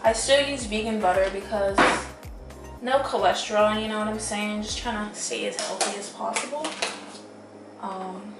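A woman's voice: medium pitch, steady tone, normal speed. Young woman chatting casually, roomy kitchen sound. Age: young adult. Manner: informal, rambling, frequent "like" and "you know". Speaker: home cooking vlogger. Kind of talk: casual chatty cooking vlog. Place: home kitchen, indoors. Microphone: camera across the table. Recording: roomy, distant camera mic, background music.

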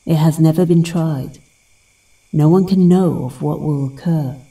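Woman as wise temple guardian, mellow dark tone, grave, formal, audio drama, slightly off-mic. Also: deep voice, unhurried, gravelly, distant mic, poor audio. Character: solemn, wise female temple guardian warrior.

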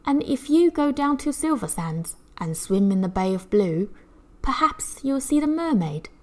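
A woman reads a rhyming picture book to children. Her delivery is theatrical and measured, following the rhythm closely, with highly animated pitch. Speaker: children's story reader.

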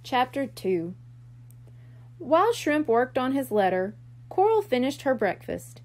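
A woman reading a children's story aloud in an expressive narration style. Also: high voice, unhurried. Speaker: storybook reader.

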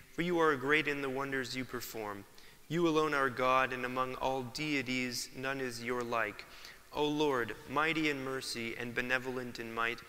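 A clergyman leading an Orthodox litany in a solemn, measured intoning manner. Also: mid-range voice, sharp tone. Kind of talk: chanted liturgical litany. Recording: church reverb, faint ambience.

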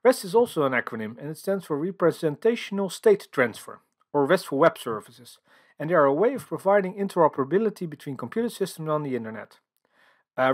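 Dutch-accented man presenting a tech session. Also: fairly high pitch. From Netherlands. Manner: explanatory, filler-heavy with frequent uhs. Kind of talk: technical conference presentation over slides. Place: voice-over for screen recording and slides.

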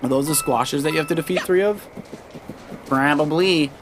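A man's voice: on the high side, brisk, background noise. A man chatting casually as a dad co-hosting a video game playthrough, giving encouraging tips and suggestions. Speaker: dad co-hosting a let's play with his child. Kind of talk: casual gameplay chat and coaching. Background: loud video game music.

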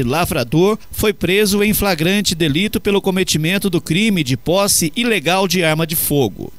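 A man with a Brazilian Portuguese accent reading a police news bulletin on local radio in a steady, formal way. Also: fairly high pitch. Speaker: radio newsreader.

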